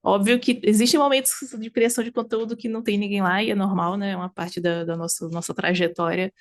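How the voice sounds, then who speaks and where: A woman's voice: mid-range voice, fairly even intonation, fast talker. A woman with a slightly mixed Northeastern Brazilian accent from Sergipe, chatting informally as an interview guest, rambling a bit with frequent tag questions. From Aracaju, Sergipe, northeastern Brazil. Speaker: interview guest, variety game streamer. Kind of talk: casual conversational interview.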